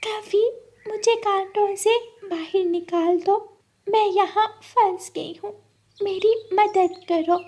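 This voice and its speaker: Woman as a tiny cartoon butterfly, very high voice, delicate, sweet, plaintive, slow pace. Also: fairly even intonation, warm tone. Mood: pleading. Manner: pleading, plaintive cries for help. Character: small delicate female butterfly, pleading and sweet.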